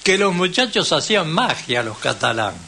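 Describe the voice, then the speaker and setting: A man's voice: a little high, very animated, normal speed, vocal fry, bright, forceful tone. An older man with an Argentine accent, chatting casually and telling anecdotes on the radio. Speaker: veteran radio and dubbing professional.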